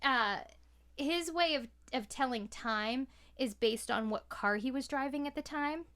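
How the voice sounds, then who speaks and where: Woman, fairly high pitch, casual podcast guest, chatty storyteller. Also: measured pace, a bit bright, clean tone. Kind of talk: casual chat, telling anecdotes.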